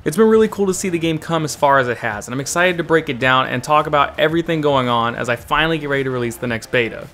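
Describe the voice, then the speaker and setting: A man's voice: fairly high pitch, quick pace, hardly any pauses, fairly bright tone. A man hosting a scripted video update as a game developer, speaking in an upbeat, informal way over music.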